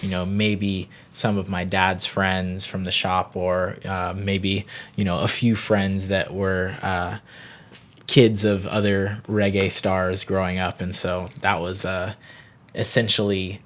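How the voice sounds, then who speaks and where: A man's voice: low voice, little pitch movement, a bit bright. A man in his thirties from Barbados, a yoga teacher talking unhurriedly and thoughtfully as a podcast guest. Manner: unhurried, thoughtful, frequent "you know". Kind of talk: reflective podcast interview.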